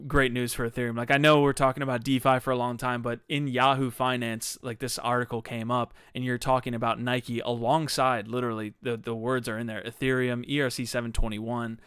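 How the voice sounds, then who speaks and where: A man hosts a relaxed crypto podcast in a chatty, upbeat way, sprinkling in "like". His voice is smooth and clear. The sound is dry and close. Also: medium pitch, a little fast, few pauses, no echo. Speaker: podcast host. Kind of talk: casual panel discussion.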